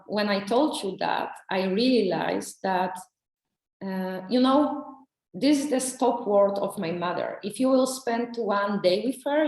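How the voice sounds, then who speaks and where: Slow-spoken woman. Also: medium pitch, a little mellow, reverberant, poor audio. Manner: halting, searching for words, many restarts. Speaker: coaching client. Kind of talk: testimonial interview.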